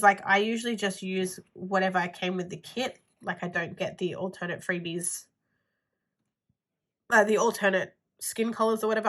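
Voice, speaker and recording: A woman's voice: mid-range voice, a bit bright. Stuffy nosed woman chatting about her planner stuff, kinda nasal, rambly, lots of like. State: stuffy nose, sniffly. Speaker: planner vlogger. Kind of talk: casual haul video chat.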